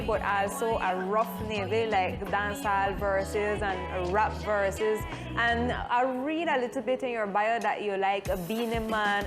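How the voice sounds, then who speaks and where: A woman hosting a music interview, speaking with flavored grammar. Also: mid-range voice. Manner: creole-flavored grammar, upbeat introductions. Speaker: music show host. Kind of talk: interviewing a guest.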